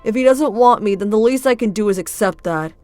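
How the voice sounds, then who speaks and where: A stammering, self-loathing teenage boy from a fan comic dub, voiced by a woman. He talks in a middle pitch and trips over his words. Faint music plays under him. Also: brisk, darkish tone, lightly acted. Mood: resigned. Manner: stammering, anxious.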